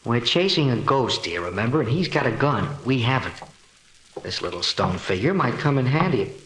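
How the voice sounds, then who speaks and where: An actor playing a cocky, wisecracking newspaper reporter in a hissy 1940s radio drama. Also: male voice, medium pitch, sing-song, moderate pace, fairly bright tone, breathy edge, poor audio. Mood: confident, determined. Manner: brash, slangy wisecracking delivery.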